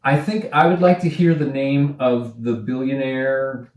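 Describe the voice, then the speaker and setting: A man working as a director, giving actors casual, measured feedback and searching for his words. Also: mid-range voice, fairly even intonation, darkish tone, reverberant.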